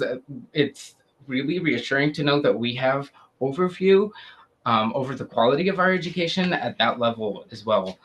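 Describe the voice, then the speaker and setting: An earnest young man from Syria answers questions before a student committee. He speaks with frequent pauses and fillers at a middling pitch. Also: a bit bright, low-quality recording. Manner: hesitant, many "um"s, earnest. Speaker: student applicant.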